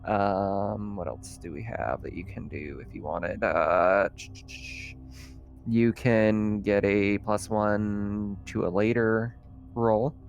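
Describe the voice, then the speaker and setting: A man's voice: lowish voice, steady tone, slow pace, highs cut off, faint music behind. A man running an online tabletop roleplaying game as game master, talking casually and a little hesitantly as he checks rules.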